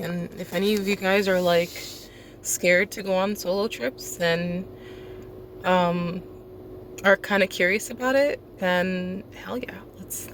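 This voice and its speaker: A young woman in her twenties rambles into her phone at an airport terminal, her voice sitting fairly low. She's running on no sleep, chatty and loose, with lots of likes and ums. Faint ambient noise hums in the background. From South Florida. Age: 20s. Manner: rambling and chatty with many fillers. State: sleep-deprived. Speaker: vlogger and night shift nurse. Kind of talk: casual vlog chat.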